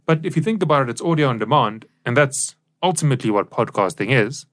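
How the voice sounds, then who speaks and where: A man's voice: medium pitch, expressive, fast talker, fairly bright tone. A man co-hosting a podcast, explaining things in a discussion style. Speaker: podcast co-host.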